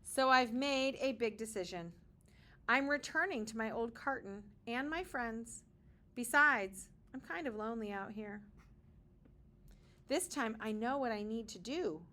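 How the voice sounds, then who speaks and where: A woman's voice: fairly high pitch, measured pace, bright, forceful tone. A woman reading a children's picture book aloud with dramatic emphasis and short explanatory asides, like a kindergarten teacher.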